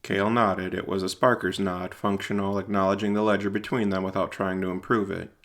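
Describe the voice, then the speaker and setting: Male narrator for audio fiction: low, gravelly with fry, measured and deliberate. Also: flat delivery, a bit bright. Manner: measured, deliberate, literary delivery. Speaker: narrator and writer-performer. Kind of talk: scripted audio drama narration.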